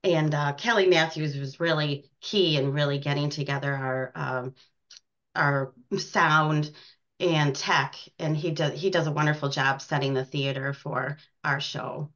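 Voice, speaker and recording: A woman's voice: sits low, steady tone, measured pace, fairly bright tone. An American woman chatting casually as an interview guest about her work in community theater. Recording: dull, lo-fi sound.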